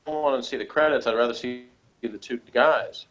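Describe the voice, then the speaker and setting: A man's voice: medium pitch, fairly quick, fairly bright tone, muffled recording. A man co-hosting in casual conversation over an online call, plain-spoken and insistent about his point.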